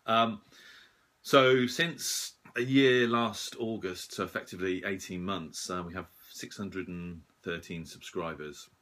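Very low-voiced man, casual and slightly husky. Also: fairly even intonation, measured pace, a bit bright. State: slightly rough and husky after a late night out. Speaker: shortwave radio hobbyist and video host. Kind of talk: casual unscripted update to camera.

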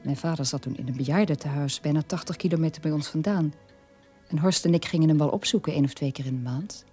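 A woman's voice: low-pitched, fast talker, warm tone, faint music behind, natural voice. An actress playing a troubled middle-aged mother telling her family's story in a radio play monologue. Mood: reflective, troubled.

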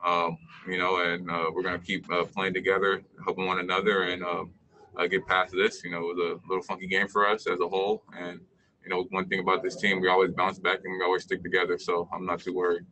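A young man, a pro basketball player, answering post-game press questions over a video call, halting with many fillers. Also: deep voice, completely flat delivery, bright, forceful tone, slight background noise, low-quality recording. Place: indoor media room.

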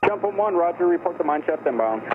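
A man with a slightly high voice speaks as a tower controller. His phrases are clipped and procedural, heard through a narrow, tinny aviation radio. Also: expressive, fast, background noise. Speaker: air traffic tower controller.

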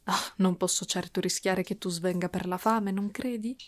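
A woman's voice: lowish voice, fairly even intonation, normal speed, close to an everyday voice. A woman playing a doting, possessive dragon woman in an intimate ASMR roleplay, cooing and teasing as she lingers on her words. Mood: doting, caring.

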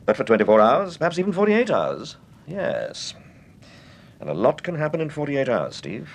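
An actor playing a composed, shrewd gentleman detective in a British radio serial, with clipped, urbane Received Pronunciation. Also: a little high, huge pitch swings, moderate pace, creaky, exaggerated voice. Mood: calmly assured.

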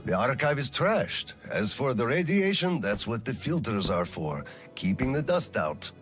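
A man's voice: mid-range voice, big pitch swings, a little slow, fairly bright tone, soft background music. A man voicing a principled, subdued army colonel in a video game, dry and understated. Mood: dry, matter-of-fact. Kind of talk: dramatic video game dialogue.

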